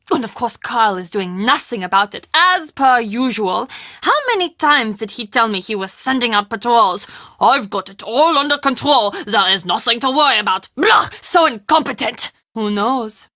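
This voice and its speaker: A woman's voice: sits high, sharp tone, no echo, studio quality, full character voice. A woman acting a theatrical castle woman in scripted mystery game dialogue, with an emphatic, dramatic delivery. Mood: exasperated, then wistful. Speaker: voice actor in a mystery adventure game.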